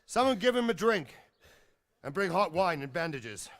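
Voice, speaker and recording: An actor playing a gruff medieval castle captain in a video game, blunt and authoritative yet kindly. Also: male voice, high-pitched, big pitch swings, normal speed, a bit bright, exaggerated voice. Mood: commanding. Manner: blunt, authoritative, ordering people about. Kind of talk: video game cutscene acting. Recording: dramatic music behind the voice.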